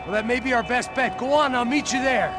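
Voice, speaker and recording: An actor playing a terse, world-weary middle-aged American action hero with a sardonic edge in a video game. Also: male voice, extremely high pitch, brisk. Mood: urgent, reassuring. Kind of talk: cinematic video game acting. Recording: action game soundtrack, loud music and noise.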